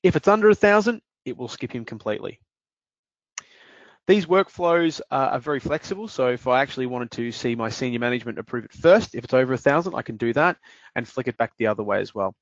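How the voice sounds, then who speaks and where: A man talks through a software screen in a steady, explanatory way, pausing for the odd filler word. The sound is dry with no room around it. The recording is slightly dull and lo-fi. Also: mid-range voice, dry recording. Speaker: software demonstrator. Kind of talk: screen-recorded product walkthrough.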